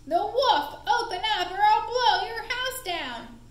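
Woman playing a loud, grumpy hungry wolf. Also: extremely high pitch, expressive, takes it slowly, voice pushed up, full character voice. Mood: menacing. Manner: loud, emphatic, theatrical. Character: hungry, grumpy, somewhat bad male wolf. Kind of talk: children's puppet show. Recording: roomy echo with faint background noise.

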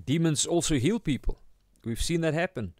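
A man with a South African accent giving an informal sermon as a pastor, halting as he searches for words. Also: a little high, a little mellow, no room sound, clean studio sound. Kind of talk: informal sermon, Bible teaching.

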